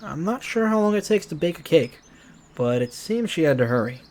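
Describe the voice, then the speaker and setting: A man's voice: a little high, big pitch swings, a little fast, darkish tone, slight background noise. A man streaming a visual novel, reading it aloud expressively with casual asides. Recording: music behind.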